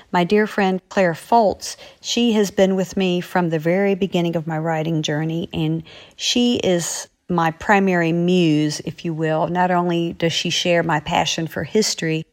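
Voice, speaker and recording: A woman's voice: fairly low pitch, fairly even intonation, a little slow. A woman author speaking in a friendly way to young listeners about her work. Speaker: author, regular segment guest.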